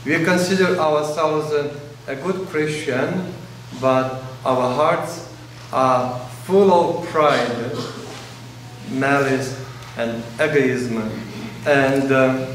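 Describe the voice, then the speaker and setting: A man in his forties, a priest whose first language is Russian, preaching a sermon in slow, pausing phrases, recorded indoors in a large church by a camera at a distance. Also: medium pitch, a little hiss or hum. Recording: very echoey church, distant mic.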